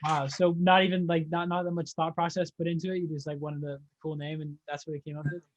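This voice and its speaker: A man's voice: on the high side, level tone, quick pace, dull audio. A young man interviewing someone in an informal, agreeable, slangy way. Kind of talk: casual interview chat.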